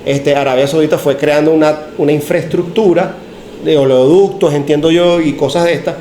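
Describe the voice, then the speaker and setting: A man hosting a podcast, asking long questions in a relaxed, conversational way. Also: on the high side, moderate pace. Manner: conversational, asks long questions, uses fillers like "ok?" and "este". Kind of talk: casual podcast discussion. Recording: echoey room with faint noise.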